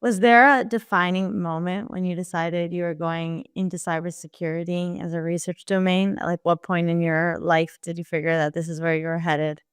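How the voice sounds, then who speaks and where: Clear-voiced woman podcast host. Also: lowish voice, flat delivery, normal speed, few pauses, no echo, studio quality. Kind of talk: asking interview questions.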